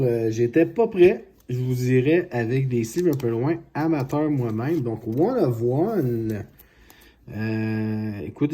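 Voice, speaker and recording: Homme calme, voix sombre et voilée. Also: male voice, medium pitch, breathy edge. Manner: unhurried, excitable over big pulls. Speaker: card collecting channel host. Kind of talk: casual card-opening vlog. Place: home, at a card-opening mat.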